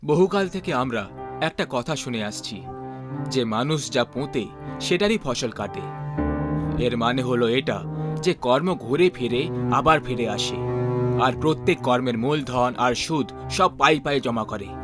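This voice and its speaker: A man's voice: mid-range voice, lively intonation, normal speed, natural voice. A man giving solemn, dramatic scripted narration for a horror story. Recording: loud music bed behind voice.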